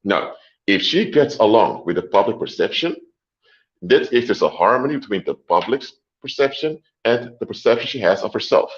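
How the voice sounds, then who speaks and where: A man hosting a livestream, lecturing deliberately and emphatically, repeating his points and closing them with short clinchers. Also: fairly low pitch, animated, highs cut off. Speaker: livestream host. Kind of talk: lecturing monologue to a live audience.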